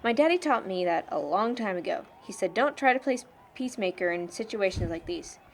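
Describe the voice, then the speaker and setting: A woman's voice: mid-range voice, animated, brisk, slight background noise, lightly acted. A young woman playing a blunt, sardonic teenage churchgoing girl in an amateur audio drama, frank and slangy. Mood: chatty, exasperated.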